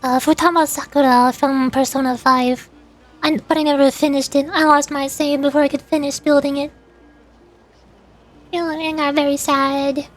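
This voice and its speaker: Young female streamer, high voice, loose and chatty, faint music underneath. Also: flat delivery, moderate pace, low-quality recording. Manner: chatty, rambling, reads chat aloud. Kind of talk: casual chat with viewers.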